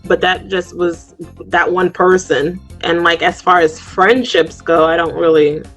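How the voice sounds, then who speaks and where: A woman in her thirties on a group video call, speaking in a hesitant, halting way. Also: fairly low pitch, fairly even intonation, a bit bright, faint music behind. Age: 30s. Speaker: guest.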